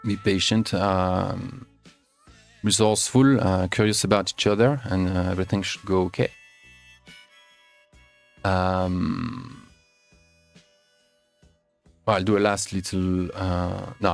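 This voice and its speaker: A man's voice: low-pitched, gravelly. A man running a tabletop game's opening session, talking unhurriedly and chuckling often. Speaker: game master. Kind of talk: online session-zero chat.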